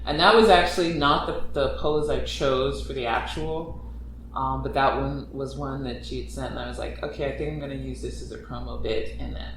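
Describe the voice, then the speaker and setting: A man in a casual chat about writing stories, who rambles, restarts his thoughts and grows animated when he retells a plot. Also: mid-range voice, a little fast, a little hiss or hum. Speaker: podcast creator and writer. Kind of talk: casual conversation. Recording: echoey room, distant mic.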